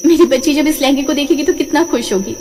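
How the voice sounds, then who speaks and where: A woman voicing a poor, loving mother who works as a tailor in a cartoon story, speaking gently. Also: high voice, fast talker, far from the mic, a little hiss or hum, pitched above the performer's own voice, close to an everyday voice. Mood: tender. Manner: tender, gentle. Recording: reverberant with soft background music.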